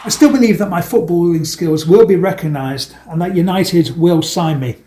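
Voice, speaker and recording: A man's voice: on the high side, normal speed. A man in his fifties with a Manchester accent, giving a scripted campaign address with dry, self-mocking humour. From England. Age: 50s. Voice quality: deadpan self-mocking delivery. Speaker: election candidate.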